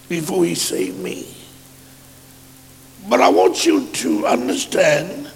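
A hoarse man a sermon in a chanting style that builds into shouts. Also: sits high, long reverb tail, a little hiss or hum. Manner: chanted preaching rising to shouts. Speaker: preacher.

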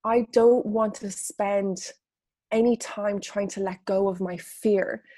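A woman's voice: medium pitch, steady tone, slow, warm tone, no echo, clean studio sound. A woman coach and author, talking earnestly through a personal story in an interview answer. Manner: earnest, storytelling, frequent restarts.

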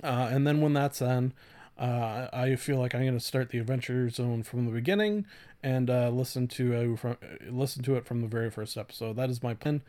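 A man hosting a solo podcast in a casual, rambling way, halting often, swearing lightly and putting himself down. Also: medium pitch, warm tone. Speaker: podcast host.

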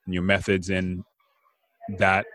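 Low-voiced casual male interviewer. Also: monotone, slow, a bit bright, no room sound. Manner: casual, friendly, calls the guest man and bro.

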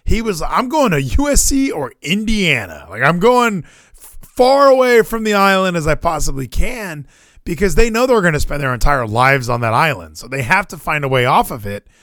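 A man's voice: a little high, normal speed, dry recording, studio quality. An American man in casual sports chat, rambling and opinionated, swearing freely as he goes. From Hawaii, United States. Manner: rambling, animated, profane, opinionated.

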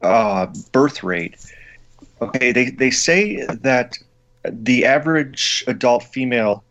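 A man's voice: mid-range voice, animated, slow, a bit bright, dull audio. A man co-hosting, asking hesitant, speculative questions. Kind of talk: casual interview questions.

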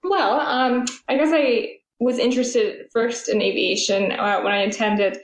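A woman's voice: a little high, steady tone, measured pace. A young woman from Atlantic Canada, a flight attendant, answering interview questions in a chatty way with many ums. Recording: roomy echo.

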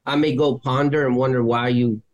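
A man's voice: mid-range voice, fairly even intonation, a little fast, no room sound, lo-fi sound. A middle-aged man co-hosting a nursing podcast, talking bluntly with lots of swearing in a casual debate. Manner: blunt, profane, straightforward. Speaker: podcast co-host, nurse.

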